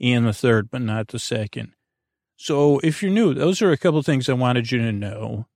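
A man hosting a sleep podcast in a meandering, halting monologue, often stammering and trailing off, with a creaky, droning voice recorded in a small closet. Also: mid-range voice, animated, darkish tone, dry recording, clean studio sound. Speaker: podcast host. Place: small closet under the stairs.

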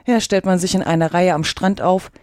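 A woman voicing an excitable, brusque male gryphon from a storybook, with emphatic exclamations. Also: fairly low pitch, a little fast, no room sound, clean studio sound, close to an everyday voice. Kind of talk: storybook character reading.

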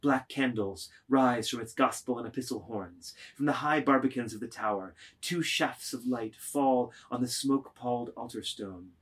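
Declamatory male narrator, medium pitch. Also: moderate pace, few pauses, fairly bright tone, husky. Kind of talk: scripted literary narration.

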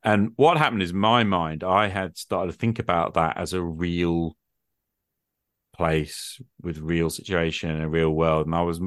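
A man talks in a low register, recorded dry with little room sound. He muses in a casual, reflective way, feeling for his phrasing and tossing out rhetorical questions. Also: steady tone, measured pace, fairly bright tone, no room sound. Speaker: podcast speaker, tabletop gamer.

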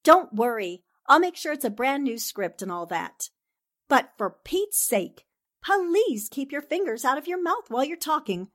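A woman playing a sharp, confident newspaperwoman in a 1940s-style radio drama. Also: high-pitched, big pitch swings, unhurried, full character voice. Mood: brisk, wry. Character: sharp, confident newspaperwoman explaining a murder plot. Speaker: voice actor in an amateur radio drama.

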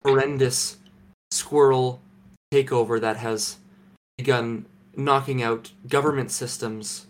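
Young canadian man, deadpan and mock-serious, halting restarts, mid-pitched voice, recorded at home. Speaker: podcast co-host.

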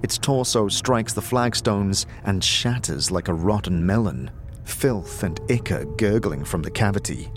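A man's voice: fairly low pitch, expressive, measured pace, faint music behind. A man reading scripted fantasy narration as a storyteller, vividly addressing the listener. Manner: vivid second-person storytelling.

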